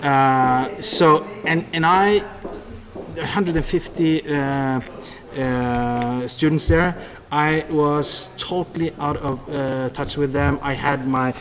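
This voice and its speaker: Higher-voiced Norwegian man, halting storyteller. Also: crowd noise. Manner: halting, repeats and restarts words. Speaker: public speaker and seminar leader, interview guest. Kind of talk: conversational interview storytelling.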